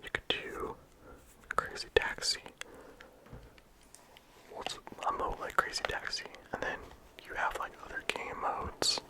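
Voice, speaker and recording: A game reviewer talking casually in a soft ASMR whisper, with frequent "like" and "um" fillers. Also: measured pace, long pauses. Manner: soft unvoiced whisper throughout.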